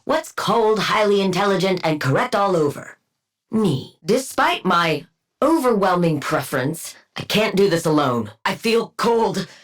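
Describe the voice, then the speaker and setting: An actress playing a brilliant, arrogant, sardonic supervillain scientist in a video game, precise and condescending, with dry wit. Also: female voice, mid-range voice, a bit bright. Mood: wry, brisk. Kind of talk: video game character voice lines.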